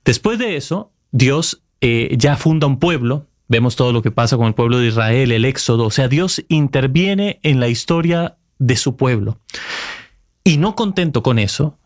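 A man's voice: medium pitch, expressive, moderate pace. Man, 30s, Costa Rican accent, earnest, explanatory, interview guest. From Costa Rica. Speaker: Vatican correspondent, guest. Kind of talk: reflective interview answers.

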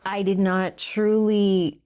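A woman in her thirties from Canada, a guest giving an earnest faith testimony and slowing down to stress the words that matter to her. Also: mid-range voice, level tone, a little mellow.

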